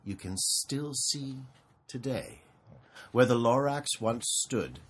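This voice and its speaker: An adult reading a children's storybook aloud in an expressive, dramatic way, stretching out words for effect. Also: medium pitch, slow pace, breathy edge.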